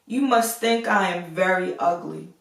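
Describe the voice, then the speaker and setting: A gentle, self-pitying storybook beast , voiced by a woman, speaks with formal courtesy and sorrow. The delivery is unhurried, with some creak, heard in a roomy space. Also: fairly low pitch. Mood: sorrowful, self-deprecating. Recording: roomy echo, distant microphone.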